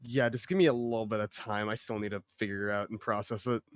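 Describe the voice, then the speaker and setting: A man with a fairly low, smooth voice and a dry, close sound. He speaks casually and hesitantly, in an apologetic manner. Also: fairly even intonation, fast, no room sound. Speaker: writing group member. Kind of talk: casual chat.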